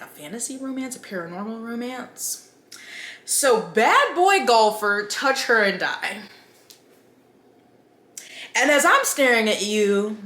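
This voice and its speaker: A woman's voice: mid-range voice, fairly bright tone, roomy sound. A woman book vlogger giving casual, chatty opinion commentary with wry, incredulous asides.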